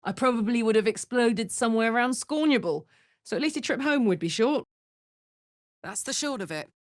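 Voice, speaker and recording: A woman's voice: fairly high pitch, animated, fairly quick, dry recording, clean studio sound, natural voice. An actress with a British accent playing a brash, big-hearted, battle-hardened warrior woman in a fantasy video game, blunt and earthy in manner. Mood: wry.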